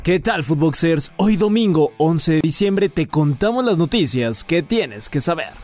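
A man hosting a sports news podcast, reading the day's football stories in a brisk, scripted way. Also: fairly high pitch, faint music behind. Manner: brisk, even headline delivery.

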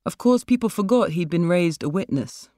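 A woman's voice: fairly low pitch, lively intonation, normal speed, dark tone, dry recording. A woman reads literary fiction as its author, in narration style. The recording is very dry, with almost no room in it. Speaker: author reading own story. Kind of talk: literary short-story narration.

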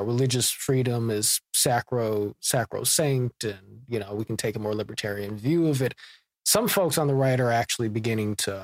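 A man hosting a podcast, framing long questions in a halting way with many fillers and restarts. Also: medium pitch, no room sound. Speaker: podcast host. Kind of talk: conversational podcast interview.

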